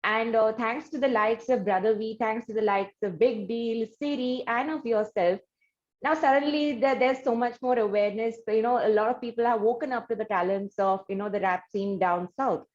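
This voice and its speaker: Female interview host, Indian accent, somewhat high voice, conversational delivery, slightly muffled recording. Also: normal speed, darkish tone.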